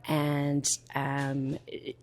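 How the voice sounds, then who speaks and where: A woman's voice: a bass voice, monotone, drawn-out words, darkish tone. A woman answering interview questions conversationally, as a vendor and writer at a convention.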